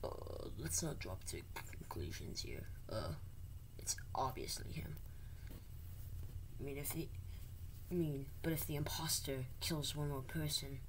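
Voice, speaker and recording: A woman playing out a game with plush toys, talking in a hushed, mumbling way. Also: low-pitched, lively intonation, a little slow, rough recording. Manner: hushed, mumbling, often whispered. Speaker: toy video maker.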